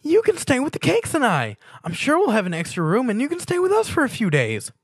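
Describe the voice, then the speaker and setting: A man voicing an excitable, playful young female cartoon pony in a dramatic fan fiction reading. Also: very high voice, fairly quick, breathy edge, no room sound, clean studio sound, pitched above the performer's own voice, exaggerated voice. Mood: cheerful. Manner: excitable, gushing, teasing.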